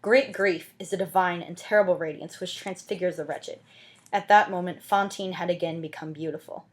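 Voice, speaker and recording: A woman's voice: fairly low pitch, fairly even intonation, moderate pace. A woman reading a classic novel aloud as an amateur audiobook narrator, with dramatic scripted delivery.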